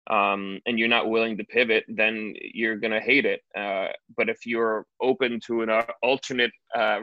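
A man who works as a stand-up comedian, chatting casually in an interview and telling stories with quick asides. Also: mid-range voice, dry recording, muffled recording. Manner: animated storyteller, quick asides.

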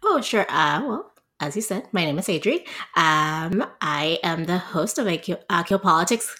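A woman from Puerto Rico in her thirties talks as a podcast guest. Her voice sits a little low, and she chats loosely with plenty of ums and laughs. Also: expressive, normal speed, pushed and bright. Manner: chatty, laughs often, many ums. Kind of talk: casual interview chat.

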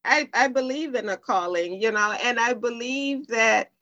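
A middle-aged woman, a life coach, speaking reflectively in an interview clip, with many pauses and "um"s. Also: fairly high pitch, pushed and bright, highs cut off. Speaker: podcast guest, life coach. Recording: played back from an earlier interview.

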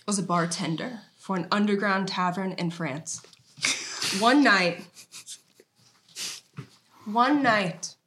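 A woman's voice: medium pitch, pushed and bright. A woman playing an earnest, evasive storyteller who tells borrowed tragedies melodramatically in a comedy sketch read. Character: earnest evasive storyteller Ollie, passing off movie plots as trauma.

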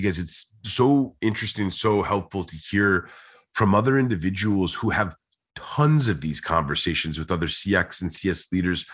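A man's voice: lowish voice, fairly bright tone. A man hosting a podcast, enthusiastic and upbeat, often repeating words as he restarts sentences. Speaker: podcast host.

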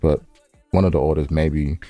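A man's voice: extremely low pitch, fairly even intonation, moderate pace, warm tone, dry recording, soft background music. An American man co-hosting a podcast in casual, unscripted banter, with a laid-back, conversational way of repeating himself. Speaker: podcast co-host.

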